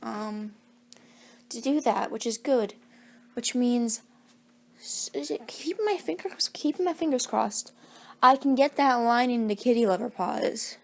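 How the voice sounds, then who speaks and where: A woman's voice: on the high side, unhurried, creaky. A woman chatting casually in a rambling, self-deprecating vlog, her voice a little groggy. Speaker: hobby voice actor, channel owner.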